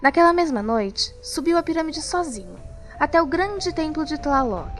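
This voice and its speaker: Narradora brasileira de voz aguda. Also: female voice, high-pitched, lively intonation, moderate pace. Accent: Brazilian. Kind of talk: scripted audio drama narration. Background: music.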